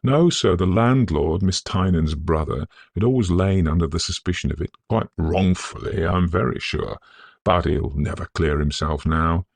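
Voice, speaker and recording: Loyal manservant voiced by a male narrator, Victorian ghost story, grave, deferential, husky and close-recorded. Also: sits low, dry recording. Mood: anxious pleading. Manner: earnest, respectful, measured period phrasing. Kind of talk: dramatised audiobook ghost story.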